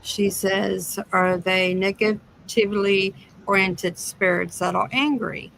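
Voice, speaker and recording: A woman's voice: mid-range voice, fairly even intonation, takes it slowly, creaky, fairly bright tone, low-quality recording. A woman co-hosting a podcast, casually reading out listener questions.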